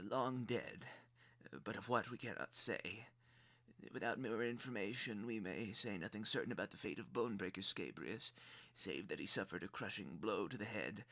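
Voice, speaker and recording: Casting: male performer as an albino rat oracle, sharp and thin, ceremonious and halting, servile to his lord, audio drama. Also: gravelly, full character voice. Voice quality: high, thin. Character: blind albino rat sniffer, formal, servile chief seer. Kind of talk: dramatic screenplay reading.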